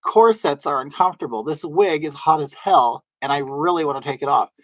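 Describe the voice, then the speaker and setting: A man with a soft, feminine-sounding voice, rambling casually to his livestream viewers with lots of fillers and restarts. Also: fairly high pitch, a little fast, no echo, poor audio. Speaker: livestream host. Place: at home in drag, wig on.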